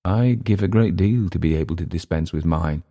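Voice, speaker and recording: A man's voice: low-pitched, normal speed, mellow. A man voicing a weary, irritable older man who speaks curtly and bluntly. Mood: gruff. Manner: curt, blunt.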